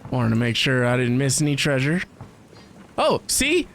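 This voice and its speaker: Animated woman reacting to a game. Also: a bass voice, normal speed, a little hiss or hum. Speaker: video game streamer. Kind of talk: casual gaming reaction commentary. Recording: game music behind the voice.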